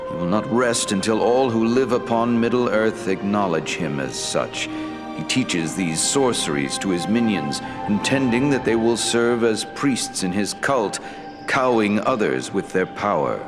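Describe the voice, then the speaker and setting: Fantasy game elf lord: solemn lore-master, deep and formal, long hall reverb, faint music behind. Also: male voice, expressive. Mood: solemn, disapproving. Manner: formal, grave, deliberate elevated diction. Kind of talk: fantasy game dialogue acting.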